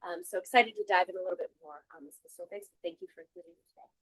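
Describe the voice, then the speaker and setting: A woman who works as a state workforce policy advisor introducing herself on a conference panel, upbeat and slightly hoarse from allergies. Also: lowish voice, very fast, low-quality recording. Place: conference forum.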